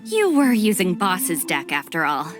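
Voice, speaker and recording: A friendly chatty card player. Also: female voice, on the low side, huge pitch swings, unhurried. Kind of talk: game cutscene voice acting. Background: music.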